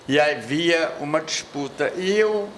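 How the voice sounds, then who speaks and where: An older man in the audience asks a long, rambling question at a panel talk, reminiscing and pausing to search for words. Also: fairly high pitch, lively intonation, slight background noise. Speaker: audience member asking a question. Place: lecture hall at a public event.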